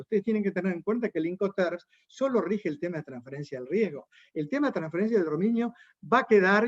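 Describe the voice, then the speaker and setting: Male lecturer, higher voice, dry muffled online recording, explanatory. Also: darkish tone, no echo. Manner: explanatory, addressing listeners directly.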